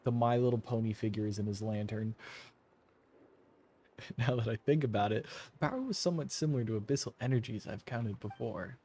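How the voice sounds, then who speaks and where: A man's voice: medium pitch, a little fast, mellow. A man who streams games, tossing out wry, goofy asides as he comments and reads along casually. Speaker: gaming streamer. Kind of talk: joking game commentary and casual reading aloud.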